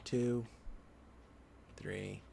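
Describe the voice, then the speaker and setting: A man's voice: fairly low pitch, level tone, extremely slow, gravelly, mellow. A man giving a casual, unscripted computer tutorial as an instructor, thinking aloud and murmuring to himself as he works. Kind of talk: casual unscripted screen-recorded walkthrough. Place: indoors at a computer. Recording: background noise, keyboard typing and clicks. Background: keyboard typing and mouse clicking.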